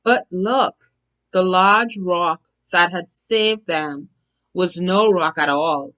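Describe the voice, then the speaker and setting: A woman narrating a children's bedtime story as a storyteller, deliberate and expressive, on a slightly dull recording. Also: lowish voice. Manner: measured, deliberate, expressive reading. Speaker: storyteller, teacher.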